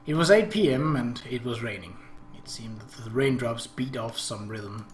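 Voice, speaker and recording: A man's voice: medium pitch, expressive, moderate pace. A man hosting a visual novel playthrough, giving narration and commentary in a room. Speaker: gaming video host. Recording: roomy echo, clear background noise.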